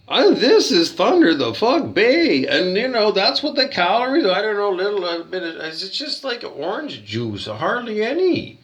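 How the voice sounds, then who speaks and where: A man's voice: sits high, highs cut off. A Canadian man rambling through a profane rant, full of restarts and fillers. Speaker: hotel guest complaining.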